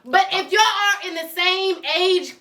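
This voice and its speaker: A woman co-hosting a podcast in a playful casual debate, teasing the others and mocking them with quick interjections. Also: extremely high pitch, pushed and bright, reverberant, distant mic, a little hiss or hum. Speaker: podcast co-host.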